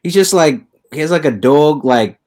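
A man's voice: a little high, lively intonation, moderate pace, darkish tone, a little hiss or hum. A man hosting a casual podcast, teasing his co-hosts and laughing often. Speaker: podcast host.

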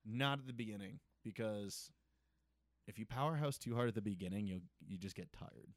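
A relaxed young man with a lowish voice chats and jokes on a podcast, dropping swear words and laughing between stories. He is close to the mic in a dry, dead room. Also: brisk, no echo. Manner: loose, swears a lot, laughs often. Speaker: podcast co-host. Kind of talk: casual chat.

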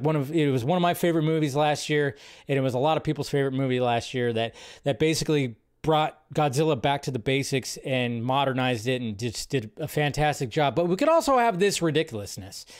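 A man's voice: fairly high pitch, clean tone. A man hosting a casual live movie show, giving rambling, sardonic reactions and commentary with loose, sweary language.

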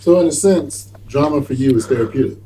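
A man's voice: mid-range voice, fairly quick, dark tone, slight background noise. A middle-aged man hosting a conversational interview, encouraging and echoing back what his guests say. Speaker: talk show host, school superintendent.

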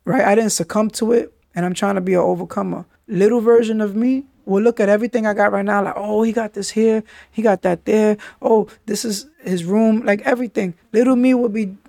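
Gravelly New man telling his story. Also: high voice, fairly even intonation, fairly quick, few pauses, dark tone, dry recording, clean studio sound. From New York City. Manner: street slang, frequent "yo" and "you understand?". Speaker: podcast host. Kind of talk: candid personal storytelling.

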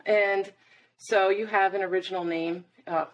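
A woman's voice: medium pitch, fairly even intonation, normal speed, a little hiss or hum, rough recording. A middle-aged woman hosting a podcast, chatty and upbeat, laughing easily with her guests. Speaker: podcast host. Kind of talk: podcast interview with intro narration.

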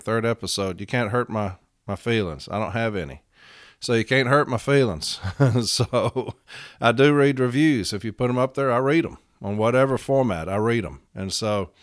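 Hoarse man, low and rambling. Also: no room sound, clean studio sound. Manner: rambling, blunt, frequent fillers. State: hoarse, voice worn out. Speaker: podcast host. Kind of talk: casual monologue podcast.

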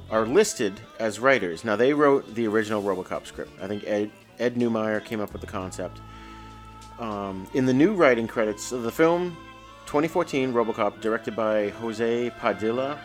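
A man hosting a casual film review podcast, reading credits with joking asides. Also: mid-range voice. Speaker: podcast host.

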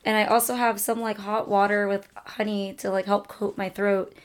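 A young woman co-hosting a podcast, hoarse from losing her voice, talking loudly and excitedly with frequent laughs and casual swearing. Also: mid-range voice, normal speed. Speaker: podcast co-host. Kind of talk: casual chat.

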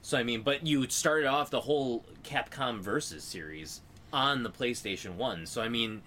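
Man with a bright, forward voice, chatting. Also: mid-range voice, animated, normal speed. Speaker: podcast host. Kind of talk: casual gaming chat. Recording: faint ambient noise behind voice.

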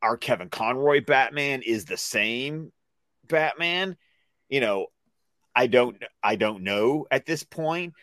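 A man's voice: mid-range voice, expressive, slow pace, a bit bright, no room sound, studio quality. A man giving casual fan commentary as a co-host, chatty and repeating points for emphasis. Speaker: podcast co-host.

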